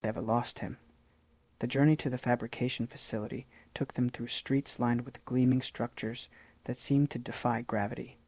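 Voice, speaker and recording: Man, narrator, husky, monotone, dry recording. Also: mid-range voice, normal speed, dry recording. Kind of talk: scripted narration.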